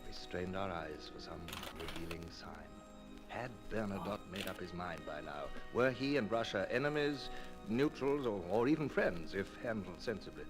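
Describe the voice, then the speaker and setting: A man playing a calm, wry British naval commander in an old-time radio drama, speaking in a crisp, formal, literary way. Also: low voice, normal speed, music in the background. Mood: grave narration.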